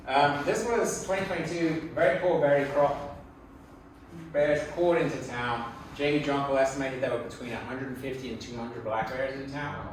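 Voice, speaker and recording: A man's voice: mid-range voice, normal speed, distant mic. Echoey, middle-aged Englishman giving a talk. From England. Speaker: speaker giving a public talk. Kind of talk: conversational slide lecture. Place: indoor talk venue before an audience. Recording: echoey room from afar, faint noise.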